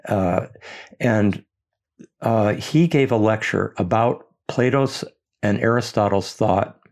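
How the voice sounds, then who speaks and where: Halting, thoughtful older man, lowish voice. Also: a little mellow. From United States. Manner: halting, many restarts and fillers. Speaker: speaker on his intellectual path. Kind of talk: reflective personal talk.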